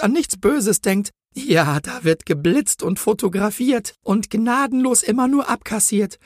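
A man's voice: sits high, breathy edge, no echo, clean studio sound, pitched above the performer's own voice. A man voicing a bullying, officious female traffic warden in a comic Christmas story, with a scolding, sneering manner.

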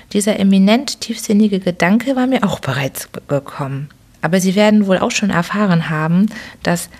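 Woman as a sardonic detective, measured, dry irony, low-ish voice, audiobook. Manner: dry ironic, measured reasoning. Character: sardonic detective, calm and incisive. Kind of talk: audiobook character reading.

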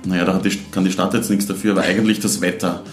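A man's voice: low voice, level tone, rapid-fire, echoey room, soft background music. A man from Styria with a Styrian Austrian accent, chatting in an easygoing way as a podcast guest. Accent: Styrian Austrian German. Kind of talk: casual chat.

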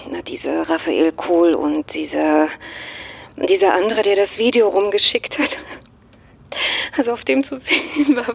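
Audio drama role: gentle, anxious mother in midlife, husky whispery softness, hesitant delivery. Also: medium pitch. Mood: uneasy. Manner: halting, gentle. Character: worried, hesitant mother of a grown daughter. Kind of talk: naturalistic German audio drama dialogue.

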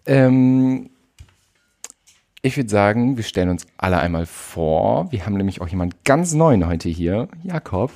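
Brief: young male podcast host, lower voice, relaxed, a little hesitant. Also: measured pace. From Wesermarsch, northern Germany. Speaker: podcast host, youth association chair. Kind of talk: casual chat.

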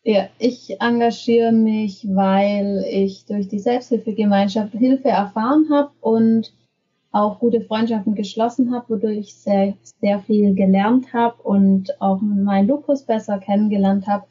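A woman's voice: mid-range voice, steady tone, unhurried, dark tone, slightly rough, dull audio. A woman, talking conversationally as a podcast participant. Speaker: podcast participant, self-help group member.

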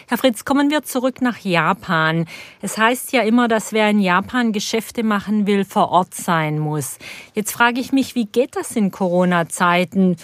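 Female podcast host for a business interview, calm questions with prepared wording, recorded dry and close. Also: mid-range voice, no room sound. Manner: measured, scripted questions.

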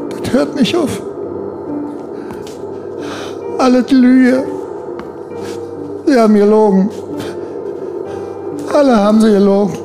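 A man playing a deranged, violent husband who rants and shouts, then pleads in a broken voice. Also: extremely high pitch. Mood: muttering. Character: deranged, violent middle-aged husband hearing knocking.

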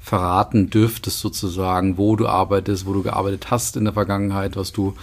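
A man's voice: low-pitched, little pitch movement, fairly quick. A man hosting a podcast interview who asks long, rambling, friendly questions. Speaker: podcast host. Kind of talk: conversational interview.

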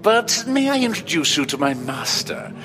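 A man's voice: fairly high pitch, sing-song, normal speed, music in the background. A man playing a suave vampire master's servant in an audio drama, courtly, deferential and insinuating.

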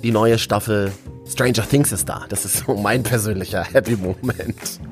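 A man's voice: lowish voice, normal speed, soft background music. A man from Berlin hosting a local news show in a cheerful, casual way, full of jokey asides. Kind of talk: casual upbeat news chat.

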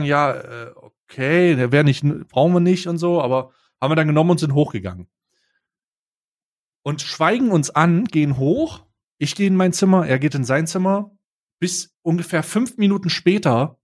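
A young man co-hosting a podcast, telling a story in casual slang with lots of restarts. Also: fairly high pitch. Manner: animated, slangy, frequent restarts. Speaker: podcast co-host.

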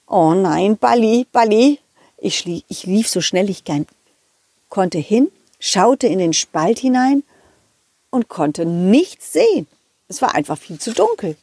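A woman telling a children's story in a gentle, deliberate way, speaking to the young listeners. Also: medium pitch, sing-song, breathy edge, no echo, studio quality. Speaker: puppeteer and storyteller.

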